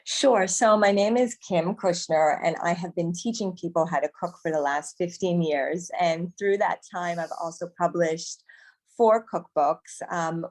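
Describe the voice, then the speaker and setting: A woman's voice: on the low side, a little mellow. A woman from Canada, a cooking teacher and author, giving conversational answers in an interview. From Montreal, Canada.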